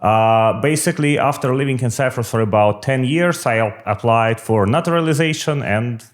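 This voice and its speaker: A middle-aged man speaks English in a measured, explanatory way, pausing on frequent fillers as he answers interview questions. His voice sits at an ordinary middle pitch for a man. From Russia. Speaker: political candidate. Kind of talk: podcast interview.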